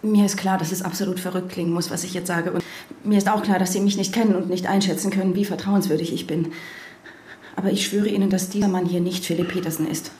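An actress playing a distraught, insistent wife who also narrates in a German radio drama. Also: female voice, lowish voice, fairly even intonation, fast, warm tone, slight background noise, lightly acted. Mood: urgent, insistent. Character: distraught, insistent wife facing an impostor husband. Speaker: lead actress and first-person narrator.

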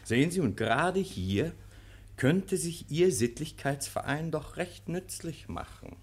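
A man's voice: on the high side, expressive, a little slow, a little mellow, slightly rough, exaggerated voice. A man playing an urbane, needling legal counsellor in a German radio play, ironic, teasing and suave. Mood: mock earnest.

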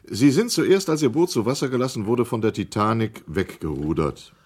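A man's voice: medium pitch, expressive, moderate pace, a little mellow, breathy edge. An actor in a German radio documentary drama playing a stern, sceptical inquiry chairman who questions formally, with authority and challenge. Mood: authoritative.

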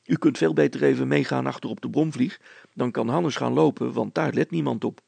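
A man's voice: medium pitch, fairly quick, vocal fry, mellow, slightly rough, dry recording, pitched above the performer's own voice. A man voicing a sober, patient, doubting village smith in a story, with a dry, hesitant manner.

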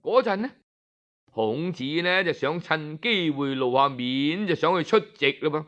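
Animated, high-pitched Cantonese male storyteller. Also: highs cut off. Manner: conversational, explanatory, with drawn-out phrase-end particles. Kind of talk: Cantonese oral storytelling, scripted yet colloquial narration.

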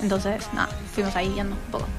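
A woman's voice: medium pitch, monotone, fast, faint music behind. A woman speaking casually as a school guest in an interview.

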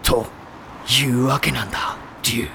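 A man's voice: medium pitch, unhurried, vocal fry, bright, forceful tone, slight background noise. A man playing a hot-blooded, melodramatic teenage volleyball boy in an anime audio drama, prone to bombastic outbursts. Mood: dejected, pleading.

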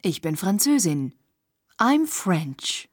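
A woman reading phrases for a language course, slowly and carefully, recorded close to the mic. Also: lowish voice, big pitch swings, dry recording. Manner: slow, careful, clearly articulated phrases.

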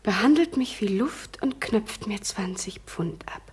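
A woman's voice: mid-range voice, expressive, a little slow, in a whisper, close to an everyday voice. An actress playing a sardonic, gossipy society woman in a radio drama. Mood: snide. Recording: echoey, noisy radio-play recording.

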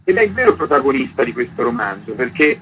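A man's voice: medium pitch, animated, fast. A man, an author being interviewed, explaining things in spontaneous, unscripted speech. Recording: dull lo-fi sound, faint background noise.

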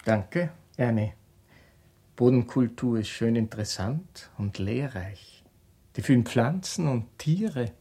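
An earnest young male student in a radio drama, mid-pitched and slow. He sounds stiff and schoolmasterly, with an Austrian accent. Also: expressive, warm tone, breathy edge, exaggerated voice. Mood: earnest, admonishing.

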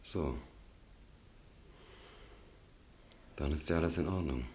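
Very deep-voiced, controlled young schemer. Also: male voice, fast talker, a little mellow. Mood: courteous, restrained. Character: Lju, controlled, evasive, secretly calculating young student. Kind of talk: German radio drama acting.

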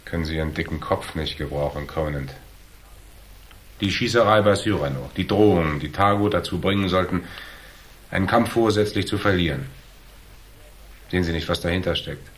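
A man acting in a German crime radio drama as a cool, shrewd investigator who lays out his deductions in a measured, dry, needling way. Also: low voice, close to an everyday voice. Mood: needling, explanatory. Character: cool, shrewd hardboiled male investigator, calmly laying out deductions.

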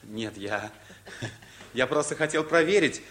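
An actor playing an earnest, slightly flustered young suitor in a 1950s radio comedy. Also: male voice, medium pitch, animated, measured pace, fairly bright tone. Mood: hesitant, friendly.

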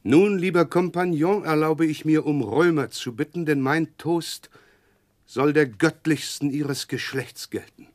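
A man's voice: a little high, a little slow, no echo, lightly acted. Man doing a slimy humble-acting clerk, sly and spiteful underneath, old radio play, dry studio sound. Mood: oily politeness. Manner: obsequious, self-abasing, sly. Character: fawning, falsely humble, scheming clerk with hidden spite. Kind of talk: 1960s German radio drama acting.

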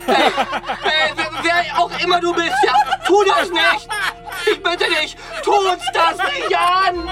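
A man's voice: extremely high pitch, fairly even intonation, a little slow, over music, crowd noise. An actor playing a cruel, smug man in a German radio crime drama. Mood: pained panic. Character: cruel, smug man named Robert, later panicking.

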